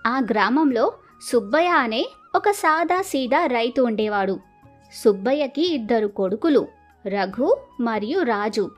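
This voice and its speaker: Very high-pitched woman storyteller. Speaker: narrator. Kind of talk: scripted moral-story narration.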